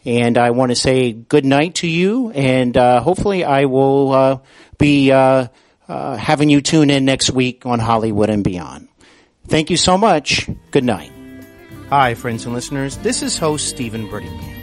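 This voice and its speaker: A man hosting a podcast, speaking in a polite, somewhat halting way. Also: medium pitch, expressive, dry recording, soft background music. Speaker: podcast host. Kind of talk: interview talk and show announcements.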